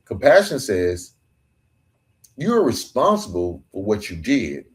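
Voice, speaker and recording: A man's voice: fairly low pitch, huge pitch swings, a little slow. A middle-aged man hosting his own podcast, delivering an earnest, emphatic monologue with rhetorical questions and a preacher-like rhythm. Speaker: podcast host and author. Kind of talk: reflective solo podcast monologue.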